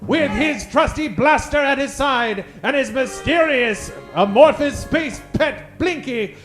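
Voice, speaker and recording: A man announces in a very high-pitched, declamatory voice, like the host of an old-time radio serial. His delivery is grandiose and mock-dramatic, every phrase staged as a theatrical introduction. Music plays behind him. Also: lively intonation, takes it slowly, a bit bright.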